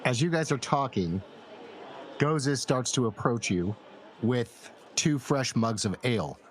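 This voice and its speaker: A man's voice: medium pitch, lively intonation, slow, some chatter behind, slight background noise. A man acting as game master, narrating a tabletop roleplaying game and addressing the players.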